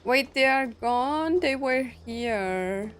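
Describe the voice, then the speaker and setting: Female let's player, relaxed chatty commentary, moderately high register, faint music underneath. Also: drawn-out words. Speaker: gamer playing and commenting. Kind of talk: casual let's play commentary, reading dialogue aloud.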